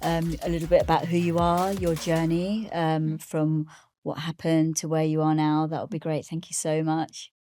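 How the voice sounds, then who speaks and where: A woman's voice: low voice, flat delivery, moderate pace, mellow, no room sound. A woman hosting a podcast, reading an intro then politely inviting her guest to talk. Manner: polite, encouraging with short backchannels. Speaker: podcast host. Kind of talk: scripted intro then interview.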